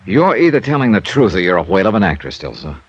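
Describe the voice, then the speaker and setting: A tough American detective from an old radio play. His voice sits fairly low, and he speaks in clipped, wry, suspicious lines like an interrogator. The sound is dull and band-limited, like an aged broadcast. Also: sing-song, fast talker, breathy edge, heavily acted. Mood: probing, matter-of-fact. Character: tough, suspicious, sardonic male insurance investigator. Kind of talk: old-time radio detective drama acting.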